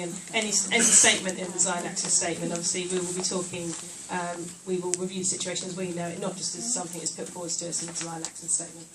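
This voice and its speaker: A woman councillor answering the public at a meeting in a careful, halting way. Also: lowish voice. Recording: distant mic with background noise.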